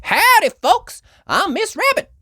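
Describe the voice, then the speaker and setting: A woman's voice: very high-pitched, sing-song, a little slow, pushed and bright, no room sound, studio quality, voice pushed up, exaggerated voice. A woman voicing a friendly, hearty female rabbit cowgirl at a diner in a children's storybook. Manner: folksy, hearty.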